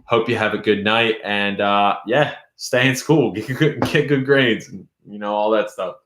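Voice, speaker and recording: A young man hosts a casual live stream, rambling through viewer comments in a loose, friendly way. His voice sits a little low and sounds tired from hours of talking. The room around him is noticeably echoey. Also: a little slow, a bit bright, a little hiss or hum. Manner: loose, rambling, reads chat aloud. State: losing his voice. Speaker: YouTube host.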